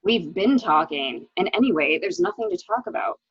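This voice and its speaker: A woman's voice: fairly low pitch, big pitch swings, normal speed, slightly rough, no room sound, highs cut off, exaggerated voice. A woman playing a tense, evasive wife suspected of murder, in an amateur dramatic reading of a mystery. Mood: weary, impatient. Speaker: library staff reader.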